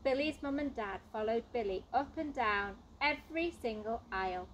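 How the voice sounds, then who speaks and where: A woman's voice: fairly high pitch, expressive, normal speed, fairly bright tone, slight background noise. A woman reading a children's storybook aloud expressively, in the manner of a teacher at storytime.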